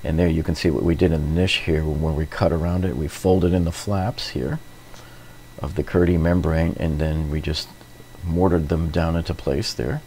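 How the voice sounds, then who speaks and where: A man's voice: a bass voice, steady tone, normal speed, mellow, slight background noise. A middle-aged man narrating a hands-on renovation tutorial in an informal, explanatory way, often repeating himself. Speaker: DIY renovation host.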